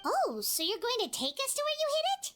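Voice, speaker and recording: Tiny squeaky game fairy, super high and bouncy, perky kid-like chatter, bit of music. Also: female voice, huge pitch swings, brisk, a bit bright, exaggerated voice. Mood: curious. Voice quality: squeaky, childlike. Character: small floating fairy companion, childlike, perky, chatty. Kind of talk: video game voice acting.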